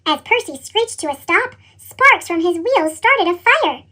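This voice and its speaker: A woman's voice: very high-pitched, animated, a little slow, pushed and bright. A woman reads a children's story expressively, her voice altered with a sped-up chipmunk effect. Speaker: storybook reader.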